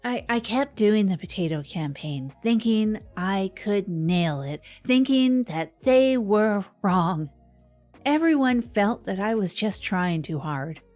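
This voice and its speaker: A woman's voice: mid-range voice, lively intonation, takes it slowly, darkish tone, soft background music. A woman playing a self-deprecating radio host who rambles wryly through a scripted comedic confession. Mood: rueful, wry. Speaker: fictional radio host and narrator.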